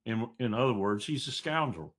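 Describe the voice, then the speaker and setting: A man's voice: mid-range voice, animated, measured pace, fairly bright tone. A man speaking as an interview guest, giving political commentary.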